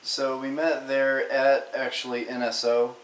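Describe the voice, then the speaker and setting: A young man in his twenties speaks from a little behind the webcam, so his voice comes through roomy and open. He talks in a relaxed, dry way and often restarts his sentences. A faint hiss runs under it. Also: medium pitch, unhurried. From Texas. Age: 20s. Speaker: vlogger's husband. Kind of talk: casual chat. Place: home room indoors, behind the webcam. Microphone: webcam, sitting back. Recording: roomy sound with faint noise.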